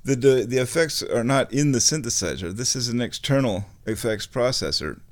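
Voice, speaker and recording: A man giving a casual, unscripted music gear demonstration, thinking aloud in a rambling, halting way. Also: medium pitch, animated.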